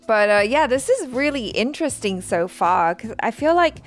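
A woman's voice: fairly high pitch, big pitch swings, moderate pace. Young woman in casual reaction chat. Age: young adult. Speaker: reaction show host. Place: small indoor studio. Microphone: headset boom mic.

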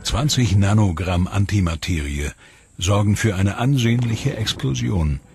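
Low-voiced male documentary narrator. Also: vocal fry, darkish tone. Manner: measured, explanatory delivery. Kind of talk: scripted voice-over narration. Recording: voice-over with music behind.

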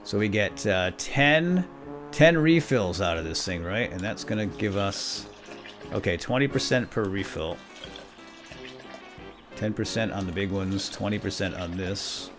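A man's voice: fairly low pitch, a little slow, over music. A man hosting a let's play, giving casual gameplay commentary with playful exclamations and asides.

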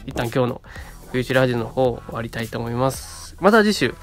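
A man's voice: mid-range voice, animated, a little fast, faint music behind. A man around thirty co-hosting a casual radio show, talking in short phrases with frequent little tag words.

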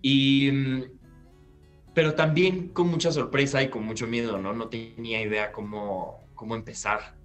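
Animated man telling his story. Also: medium pitch, a little slow, soft background music. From Peru. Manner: animated, gestures while recounting, restarts phrases. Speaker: guest actor. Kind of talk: storytelling interview answer. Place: bright living room at home. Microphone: laptop webcam on video call.

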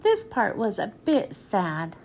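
A woman reading a children's picture book aloud in an expressive storytelling manner, then thanking her listeners. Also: medium pitch, slow, a little hiss or hum.

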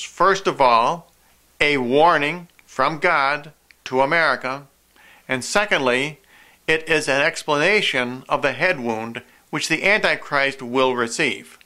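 An older man delivering an earnest religious monologue to camera in a deliberate, emphatic, didactic way. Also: mid-range voice, sharp tone. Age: older, 60s-70s. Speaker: video preacher, host of a prophecy program.

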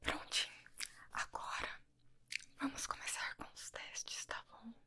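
A young Brazilian woman whispering breathily in a slow, soft, reassuring ASMR roleplay as an optician, close to the microphone. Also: a bass voice, wildly swooping pitch, vocal fry. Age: young adult. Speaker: ASMR host playing an eye-test optician. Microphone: desk microphone, close up.

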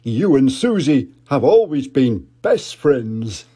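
A man's voice: medium pitch, very animated, takes it slowly, warm tone, slightly rough, full character voice. An older man voicing a jolly, bumbling father pig in a read-aloud storybook, hearty and a little sheepish.

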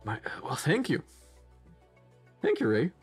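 A man's voice: fairly high pitch, wildly swooping pitch, a little slow. A young man with a Slavic accent, chatting casually while streaming a game, rambling with lots of fillers and restarts. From Czech Republic or Slovakia. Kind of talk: casual gameplay commentary. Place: home room in front of a gaming chair. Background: faint game music.

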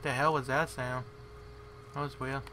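Bright-voiced man muttering over a game. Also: medium pitch, flat delivery, unhurried, a little hiss or hum. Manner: muttering to himself in short fragments. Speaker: gamer, let's player. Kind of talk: casual off-the-cuff game commentary.

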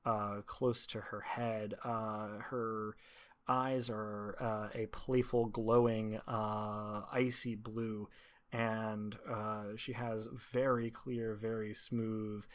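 A man improvising narration as game master, describing scenes in halting, measured phrases. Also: fairly low pitch, level tone.

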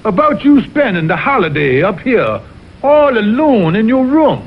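Pompous southern fox colonel, voice swoops around, muffled old tv cartoon sound. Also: male voice, high-pitched, big pitch swings, a little slow, slightly rough, exaggerated voice. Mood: wheedling. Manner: pompous, flowery wording. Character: blustering, scheming Southern colonel fox, married freeloader. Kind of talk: 1960s TV cartoon acting.

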